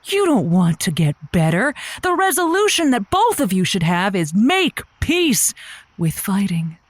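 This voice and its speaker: A woman's voice: mid-range voice, big pitch swings, measured pace, dry recording. A woman playing a chatty radio call-in host in an audio comedy drama, a coaxing, exasperated peacemaker with an emphatic way of talking. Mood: delighted, knowing.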